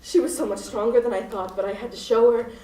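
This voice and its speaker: Woman acting an unhinged, high-voiced female hostage-taker. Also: fairly even intonation, quick pace, natural voice. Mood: frantic. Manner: melodramatic, swinging between hushed recollection and frantic screaming. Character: unhinged, desperate female hostage-taker confessing her crimes. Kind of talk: student radio play acting, dramatic monologue. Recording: roomy, distant recording with music behind.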